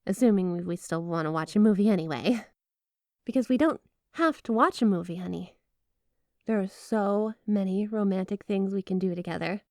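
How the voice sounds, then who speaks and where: Woman doing a clingy yandere wife for asmr roleplay, sugary and coy but kinda menacing, mid pitch, super dry close sound. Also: normal speed, no echo, close to an everyday voice. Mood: sweet, affectionate. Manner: gushing, coy, giggly, with dark possessive undertones. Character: flirty, obsessive yandere wife with snow magic, sweetly menacing. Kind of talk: ASMR roleplay, addressing the listener as spouse. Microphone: close, dry microphone.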